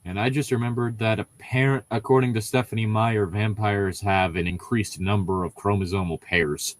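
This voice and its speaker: A man's voice: lowish voice, fairly even intonation, normal speed. A man chatting casually as a podcast co-host, explaining things in a nerdy way and often restarting his sentences. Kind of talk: casual chat.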